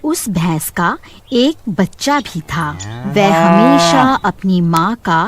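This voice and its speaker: A woman's voice: mid-range voice, expressive, takes it slowly, a loud crowd. A woman narrating a moral story from a script in a dramatic storytelling style. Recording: loud cattle and cricket sounds behind.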